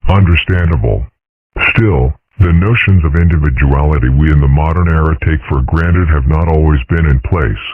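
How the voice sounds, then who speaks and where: A man voicing a calm, philosophical fox father in a comic dub, measured, wordy and dryly witty. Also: a bass voice, a little hiss or hum. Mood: calm, deadpan. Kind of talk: amateur comic strip dub. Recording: muffled, phone-like lo-fi audio.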